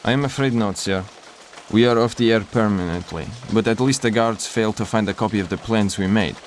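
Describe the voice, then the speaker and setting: Man playing a careful dutiful major in a war game, on the low side, reserved and proper. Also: slight background noise, natural voice. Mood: wary. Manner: formal, measured, reserved. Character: cautious, dutiful major on escape committee. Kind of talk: video game cutscene acting.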